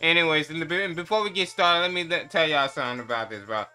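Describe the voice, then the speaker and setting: A young man with a fairly high voice talks as a reaction host. He is hyped and casual, full of slang and swearing, with clear music sometimes running behind him. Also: quick pace, sharp tone. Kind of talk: casual reaction commentary to camera.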